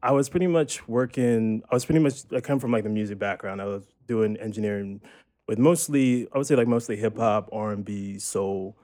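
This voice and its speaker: A young man chatting casually as a podcast guest, talking about his work as an audio engineer in a relaxed, rambling way full of "like". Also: on the low side, a little fast. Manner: relaxed, rambling, fills speech with "like". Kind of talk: casual chat.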